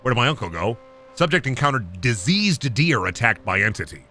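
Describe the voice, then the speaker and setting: A man's voice: mid-range voice, big pitch swings, brisk, pushed and bright. A man who streams games, reading found-footage captions aloud in the style of a narrator.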